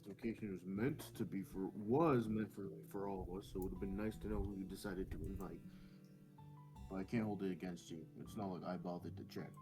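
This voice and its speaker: A man's voice: low-pitched, steady tone, brisk. A man doing a casual, jokey read-aloud of visual novel dialogue, dropping informal asides. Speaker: co-reader in a visual novel let's play. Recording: music playing behind the voice. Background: guitar music.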